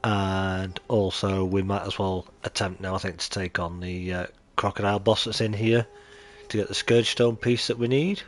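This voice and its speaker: British male streamer, low-ish voice, congested, nasal, chatty and friendly with chat. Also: little pitch movement, moderate pace. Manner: chatty, greets viewers and thanks them. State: nasal and chesty from allergies. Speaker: game streamer. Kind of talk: live stream gameplay commentary.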